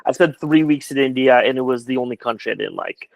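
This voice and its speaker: A man whose first language is Polish, telling animated travel stories in casual chat over a video call. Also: medium pitch, quick pace, creaky, no room sound. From United States. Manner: animated storyteller, many restarts.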